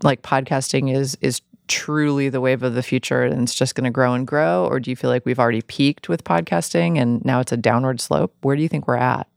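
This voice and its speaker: A woman's voice: rumbling low, fairly even intonation, a little fast, creaky, few pauses, darkish tone, no echo, studio quality. A woman hosting a podcast interview, chatty and conversational, rephrasing her questions aloud as she goes.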